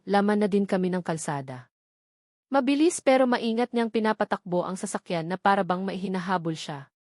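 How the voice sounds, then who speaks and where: A woman's voice: mid-range voice, a little mellow, no echo, studio quality. A woman reading a first-person romance novel as a scripted audiobook narrator.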